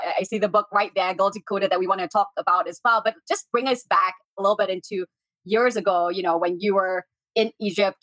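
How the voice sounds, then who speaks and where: A woman's voice: medium pitch, a bit bright, low-quality recording. A woman hosting a podcast, introducing and interviewing in a presenter's style. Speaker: podcast host.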